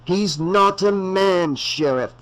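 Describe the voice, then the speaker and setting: Give me a slow, solemn older doctor, as a male narrator plays him. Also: high-pitched, smooth, voice pushed up. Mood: ominous. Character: grave older male doctor warning of evil.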